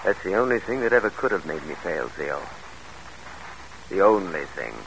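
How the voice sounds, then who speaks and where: An actor playing a proud, ambitious father and scornful gentleman schemer in old-time radio drama, theatrical and articulate. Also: low voice, expressive, moderate pace. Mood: wounded. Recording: noisy vintage radio recording.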